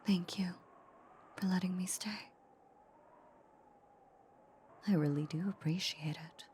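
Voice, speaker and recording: Woman acting as a vampire countess, lowish mellow voice, soft breathy whisper, tender and possessive, intimate audio drama, faint ambience behind. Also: steady tone, slight background noise, close to an everyday voice. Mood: gentle, grateful. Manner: slow, coaxing, intimate murmur.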